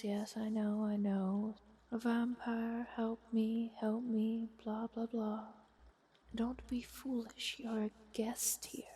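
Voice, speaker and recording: Woman acting a slow, half-whispering haughty vampire countess. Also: fairly high pitch, full character voice. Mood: mocking, dismissive. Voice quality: soft, breathy, half-whispered. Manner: poised, wry, condescending, formal old-fashioned phrasing. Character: refined, haughty, ancient female vampire countess. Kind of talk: improvised ASMR roleplay. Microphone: close binaural microphone. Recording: close binaural mic with clear hiss.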